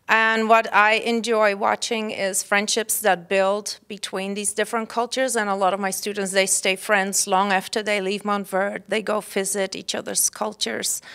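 A middle-aged woman from the Netherlands, a teacher, giving steady, measured answers in a classroom interview. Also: mid-range voice, gravelly. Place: classroom indoors.

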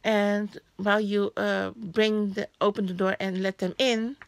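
A woman vlogging casually about a newspaper, speaking English as a Hakka and Cantonese native, often pausing to search for words. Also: mid-range voice, little pitch movement, vocal fry, no room sound. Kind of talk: casual chat. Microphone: phone camera held over a newspaper.

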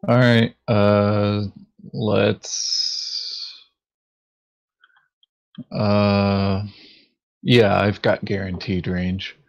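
A man playing a board game, talking casually in terse, deadpan remarks. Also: on the low side, drawn-out words, long pauses. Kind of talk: casual gaming chat. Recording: dull, lo-fi voice chat microphone.

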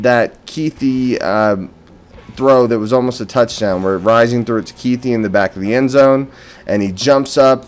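An American man giving casual post-game football commentary as a fan and host, opinionated and emphatic on key words. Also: mid-range voice. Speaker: sports podcast host and fan. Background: background music.

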